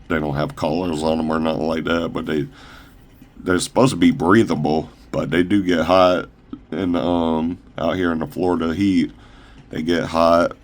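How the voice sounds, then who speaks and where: Deep-voiced man, rambling and halting. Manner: halting, loose, full of fillers and restarts. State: slightly raspy from long talking. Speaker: independent shoe designer showing his own designs. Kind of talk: casual rambling product showcase. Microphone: phone camera.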